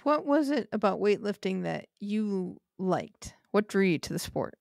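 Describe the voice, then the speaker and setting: Gravelly woman asking questions, dry sound. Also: medium pitch, very animated, measured pace, darkish tone, dry recording. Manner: brief, direct questions. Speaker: podcast host. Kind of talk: interview questions.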